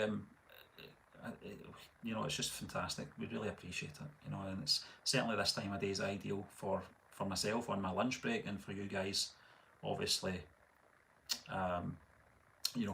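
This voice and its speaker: Gravelly low-voiced Scottish man. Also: slight background noise. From Scotland. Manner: relaxed, rambling with many fillers and restarts. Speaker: channel host. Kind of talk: casual live-stream chat, reading comments.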